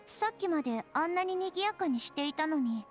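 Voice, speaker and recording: A woman voicing a gentle, wise girl deity in an anime game, speaking in a poised, philosophical manner. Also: high voice, animated, very fast, faint music behind. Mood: curious. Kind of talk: anime game voice acting in Japanese.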